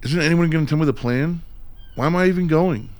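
A man's voice: on the high side, brisk, lightly acted. An actor playing a skeptical, reluctant civilian man in a radio play, talking in a casual, wry, questioning way. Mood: protesting, sarcastic.

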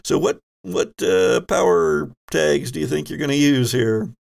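A man's voice: on the high side, animated, measured pace, creaky, darkish tone. A man acting as game master, hosting and narrating a tabletop role-playing game with dramatic scene-setting.

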